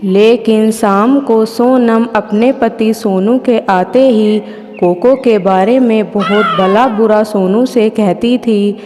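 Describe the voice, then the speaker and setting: Slow woman storyteller in long reverb. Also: on the high side, steady tone, mellow, slight background noise. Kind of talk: scripted children's moral story narration. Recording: long hall reverb, faint music. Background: music.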